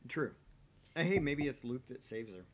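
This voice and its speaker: A man's voice: medium pitch, animated, moderate pace. A man co-hosting a gaming livestream in casual, conversational chat with his audience and co-host.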